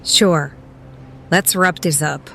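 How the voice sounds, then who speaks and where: A woman's voice: sits low, slow pace, vocal fry, bright, forceful tone, a little hiss or hum, exaggerated voice. A woman acting in an audio drama as a practical young spellcaster among a band of survivors. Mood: businesslike.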